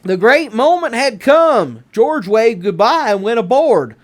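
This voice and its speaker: A man narrates a picture book for children in a Southern US accent. His delivery is expressive and theatrical, leaning deliberately on key words. The pitch rises and falls in wide, lively swings. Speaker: storybook narrator. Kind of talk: expressive children's read-aloud.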